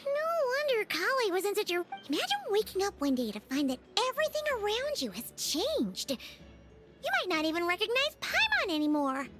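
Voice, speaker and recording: A woman voicing a small, excitable childlike fairy companion with a squeaky voice in a video game. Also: moderate pace, heavily acted. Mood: puzzled. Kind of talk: animated video game voice acting. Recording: loud childlike tinkling music behind.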